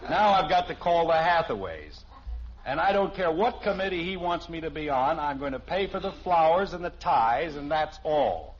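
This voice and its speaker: Guy playing fed up 1950s sitcom dad, sarcastic, higher voice w big swings, hissy old radio sound. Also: animated, moderate pace, lo-fi sound, natural voice. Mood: exasperated. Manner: weary sarcasm, sputtering when interrupted. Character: exasperated middle-aged suburban father and insurance man.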